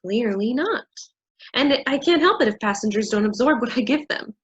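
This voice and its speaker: Actress as a bossy angry woman in a play reading, kinda husky, scolding, muffled recording. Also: a little high, expressive, moderate pace. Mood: scornful, superior. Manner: scolding, insistent. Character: angry, rigid, bossy woman enforcing the rules.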